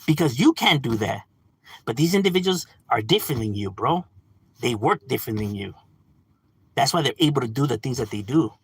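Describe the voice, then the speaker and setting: A man hosts a casual stream, talking straight into a close, dry mic. His voice is husky and sits a bit high, with a lively, swooping pitch. He argues his point with lots of "bro" and "you know", chuckling as he goes. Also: no echo. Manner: animated, argumentative, addresses chat as "bro"; frequent laughs and filler "you know". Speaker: livestream host. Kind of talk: casual livestream rant.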